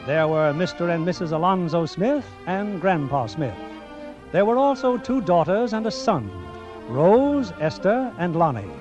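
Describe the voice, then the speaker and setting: Male storyteller for 1940s radio drama: animated, high pitch, muffled old broadcast sound. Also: measured pace. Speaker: narrator. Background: music.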